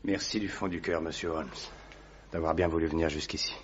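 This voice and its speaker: A convalescent young diplomat, deep. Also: male voice, fairly even intonation, brisk, slight background noise. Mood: earnest. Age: 30s. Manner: polite, formal and earnest. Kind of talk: vintage radio drama acting. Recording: dry, dull old radio recording.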